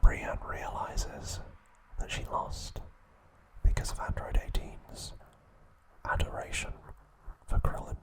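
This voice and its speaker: Slow, whispering ASMR man. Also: low-quality recording. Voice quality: soft, breathy whisper. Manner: gentle, soothing, unhurried delivery in short phrases. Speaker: ASMR channel host. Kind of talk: whispered ASMR trivia narration and sign-off.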